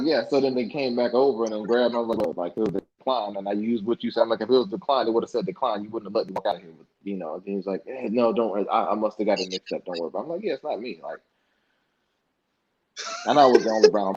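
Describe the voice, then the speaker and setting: A young man with a low, husky voice tells stories in a relaxed, colloquial way, jumping into the voices of the people he quotes. The audio sounds dull and lo-fi, like a home podcast setup. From United States. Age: young adult. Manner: animated, acts out past dialogue in colloquial speech. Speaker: podcast guest. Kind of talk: casual interview storytelling.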